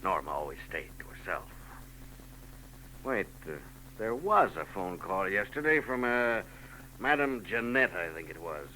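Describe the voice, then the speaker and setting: An actor playing a grim, brusque husband in a 1940s radio drama, curt and tense. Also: male voice, sits low, sing-song, measured pace, long pauses, pushed and bright, exaggerated voice, poor audio. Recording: old radio broadcast with hiss.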